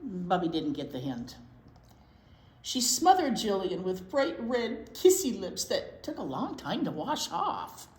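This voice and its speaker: Slow, animated woman reading a picture book. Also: medium pitch. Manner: animated, pauses to wonder aloud and question young listeners. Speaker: children's storytime reader at a library. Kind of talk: expressive read-aloud picture book storytelling with asides. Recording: roomy echo with clear background noise.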